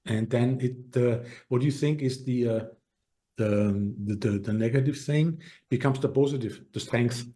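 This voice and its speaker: Austrian-accented male guest, warm and mellow, earnest, halting delivery. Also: mid-range voice. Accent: Austrian German. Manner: earnest, halting with many restarts. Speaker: podcast guest. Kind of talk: casual interview talk.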